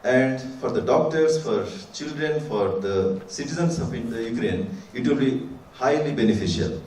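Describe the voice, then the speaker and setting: A man gives an earnest, formal address to a school audience, restarting his phrases and filling pauses with "uh." His pitch sits in a normal middle range. He is heard from a distance in a reverberant hall. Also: lively intonation, a little mellow, slight background noise. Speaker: speaker at a school ceremony. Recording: echoey hall, voice from far off.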